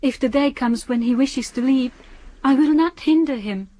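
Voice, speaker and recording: An actress playing a devoted, earnest young Japanese island woman in a radio drama, gently pleading. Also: sits high, moderate pace.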